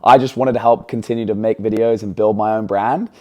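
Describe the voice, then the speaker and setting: Low, mellow and relaxed, a young man in his twenties talks as an interview guest. His accent blends English and American, and his chatty, upbeat delivery is full of ums. It is an easygoing, casual conversation. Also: brisk. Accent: mix of English and American. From England. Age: 20s. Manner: chatty, filler-heavy, upbeat.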